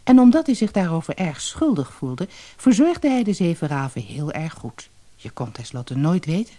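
Husky, mellow fairy-tale narrator with lively intonation. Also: mid-range voice, normal speed. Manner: expressive storytelling, acting out dialogue. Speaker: storyteller, narrator.

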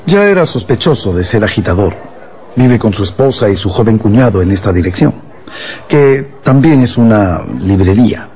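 An actor playing a courteous police inspector in a radio drama, probing politely and a little hesitantly, over music. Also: male voice, fairly low pitch, lively intonation, normal speed, warm tone, natural voice. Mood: matter-of-fact. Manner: polite, hesitant, circling his questions.

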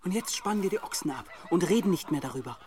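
An actor in a German radio drama playing a tense young monk who is irritable and decisive. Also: male voice, high voice, expressive, fast, far from the mic, heavy background noise. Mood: tense, irritated.

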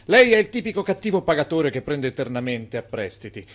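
A man's voice: on the high side, very animated, a little fast. An actor in a radio play playing an irate, blustering furniture seller demanding his money, very emphatic. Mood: angry. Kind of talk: theatrical radio play dialogue.

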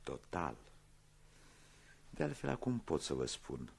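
Police inspector, radio play, gravelly, animated intonation, courteous, probing, insinuating. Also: male voice, a bass voice, heavily acted. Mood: calm, triumphant. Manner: measured, insinuating, theatrically polite.

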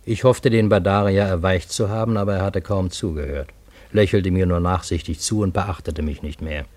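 A lowish, measured voice of a male actor narrates a radio play in the first person. The storyteller is ironic and bewildered, with literary phrasing and a close, dry sound. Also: fairly even intonation, a little mellow, no room sound, close to an everyday voice. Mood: wondering, ironic.

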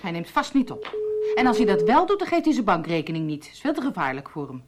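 An actress playing a suspicious, sharp-tongued wife in a radio play, sarcastic and challenging. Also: female voice, mid-range voice, very animated, quick pace, very noisy, natural voice. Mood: sceptical. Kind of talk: Dutch radio play acting.

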